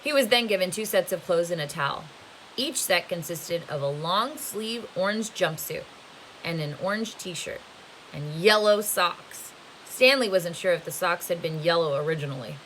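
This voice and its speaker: A woman reading a novel aloud as a teacher would to students, with expressive storytelling narration. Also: fairly low pitch, moderate pace, low-quality recording. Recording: faint hiss behind the voice. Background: faint steady hiss.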